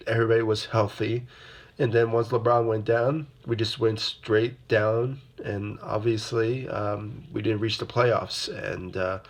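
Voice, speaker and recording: Rambling young man with a sore throat. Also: lowish voice. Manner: rambling, many fillers and repeated "obviously". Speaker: host of a basketball fan channel. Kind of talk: casual solo sports commentary.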